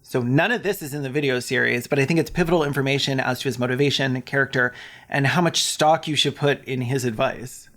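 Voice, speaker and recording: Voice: medium pitch, fairly quick, a bit bright. A commentator delivering a scripted video essay with casual asides, in a sarcastic, mocking and sweary manner.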